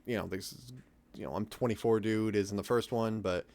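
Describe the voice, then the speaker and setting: A man's voice: fairly low pitch, fairly even intonation, a little fast, a little mellow. A man, a voice actor, talking casually in a group commentary on an audio drama.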